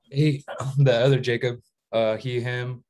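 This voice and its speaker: A man's voice: medium pitch, little pitch movement, unhurried, a little mellow. A man from Oklahoma, easygoing as he introduces himself on a video call from his home. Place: home room.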